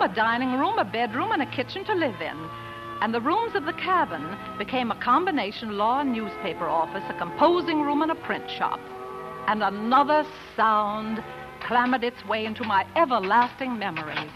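An actress playing a spirited, proper young pioneer wife who also narrates an old-time radio drama, with genteel, emotive delivery. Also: female voice, fairly high pitch, low-quality recording.

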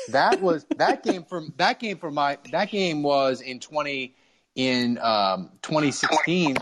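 A man in his thirties or forties telling casual stories as a sports co-host, animatedly acting out the dialogue. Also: a little high. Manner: animated storyteller, acts out quoted dialogue.

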